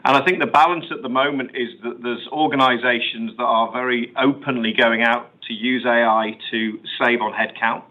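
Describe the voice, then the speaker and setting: A man's voice: mid-range voice, fairly even intonation, normal speed, hardly any pauses. Man on a muffled phone line. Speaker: company executive. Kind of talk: answering questions on an earnings call. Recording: muffled phone-line conference call audio.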